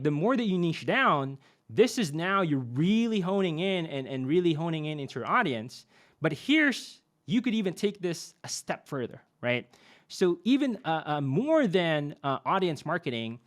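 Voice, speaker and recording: Animated young man explaining marketing. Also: a little high. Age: young adult. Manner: animated, many fillers and tag "right?". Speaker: podcast guest, marketing expert. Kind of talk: conversational explaining.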